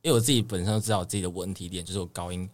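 Young male idol guest, lower register, Taiwanese Mandarin, earnest and conversational. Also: fairly even intonation, racing. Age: young adult. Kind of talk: casual interview chat.

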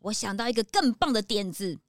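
A woman playing an eager, excitable child detective sidekick in a children's audio drama, full of exclamations. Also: medium pitch, a little fast, dry recording, lightly acted. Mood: excited.

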